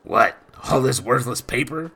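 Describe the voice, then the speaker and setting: A man voicing a roguish, cocky male thief who talks in a gravelly, swaggering, ungrammatical like dialect. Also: mid-range voice, expressive, measured pace, sharp tone, a little hiss or hum, voice pushed up, full character voice.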